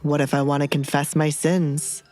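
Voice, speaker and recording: A woman's voice: low voice, flat delivery, moderate pace, darkish tone, soft background music, lightly acted. A woman voicing a menacing, smug male nobleman who teases and mocks in an audio drama. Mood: smug teasing.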